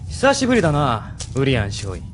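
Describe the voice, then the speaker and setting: A man's voice: mid-range voice, wildly swooping pitch, fairly quick, over music. A man playing a proud, self-assured middle-aged military major in a Japanese audio drama. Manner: assured, commanding.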